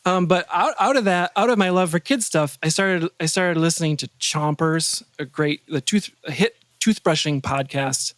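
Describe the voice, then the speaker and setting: A middle-aged man with a slightly high voice talks casually as a podcast guest. The sound is close and dry. He often breaks off and restarts his sentences as he thinks. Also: moderate pace, few pauses, a bit bright, no echo. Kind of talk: casual interview chat.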